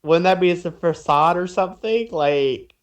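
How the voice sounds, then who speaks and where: A young man chatting casually and critiquing in a halting way, repeating words as he thinks. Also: sits high. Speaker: co-commentator. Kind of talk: casual chat, teasing critique.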